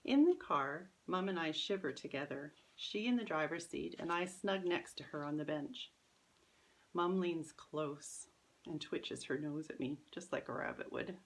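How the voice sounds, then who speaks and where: Low, creaky-voiced woman reading a story. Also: measured pace, rough recording. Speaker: author reading their own children's story. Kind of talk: scripted storybook narration in first person.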